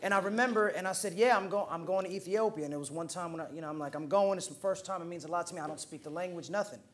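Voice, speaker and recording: Higher-voiced young American man, casual. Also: rapid-fire. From Oakland, California, USA. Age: 20s. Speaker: roundtable participant. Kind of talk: casual personal storytelling. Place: indoor roundtable discussion at a museum.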